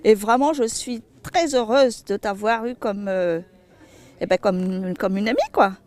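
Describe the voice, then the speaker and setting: A woman's voice: medium pitch, unhurried, darkish tone. A woman from Madagascar speaks informally in a heartfelt testimonial, repeating words for emphasis. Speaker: charity association member.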